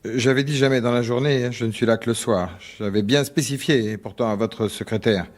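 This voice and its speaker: An actor playing a harried husband and anxious office employee in a French radio drama, speaking hesitantly and defensively. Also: male voice, medium pitch, a little fast, close to an everyday voice. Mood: subdued, uneasy.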